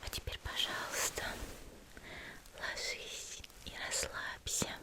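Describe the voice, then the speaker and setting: A woman speaks entirely in a soft, unvoiced whisper. She plays a caring young healer in an ASMR roleplay, addressing the listener directly. Her delivery is gentle, soothing and reassuring. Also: slow. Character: gentle caring young female demon-slayer healer tending a patient.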